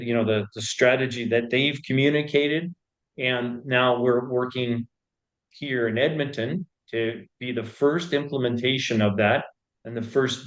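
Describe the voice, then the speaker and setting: A man speaks as a company executive being interviewed. His voice sits at an average male pitch. He explains things step by step in halting phrases, often repeating a word before carrying on. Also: low-quality recording. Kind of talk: explanatory business interview talk.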